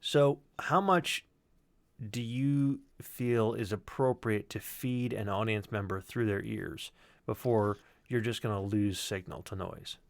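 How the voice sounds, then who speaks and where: A man's voice: lowish voice, takes it slowly, vocal fry. A man presenting as a sound designer, talking through his work in a reflective, conversational way. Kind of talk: informal explanatory walkthrough on a livestream.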